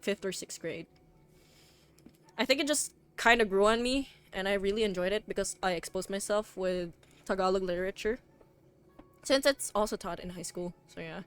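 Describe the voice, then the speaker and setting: A young woman from the Philippines, chatting casually on a stream and rambling with fillers and restarts. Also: mid-range voice.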